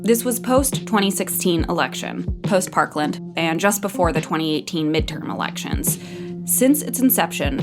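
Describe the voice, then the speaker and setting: A woman narrating a documentary podcast from a script, in a clear, measured explaining tone. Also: lowish voice.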